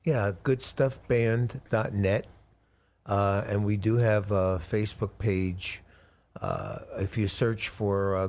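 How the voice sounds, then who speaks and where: A man's voice: on the low side, a little mellow. A man talking casually as a musician guest in an interview.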